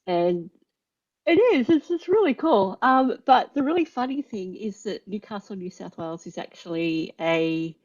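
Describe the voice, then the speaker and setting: A woman's voice: medium pitch, normal speed. Chatty Australian woman, lo-fi audio. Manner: chatty, animated storyteller with many ums. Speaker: host, linguistics teacher. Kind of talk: casual chat. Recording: slightly dull lo-fi audio.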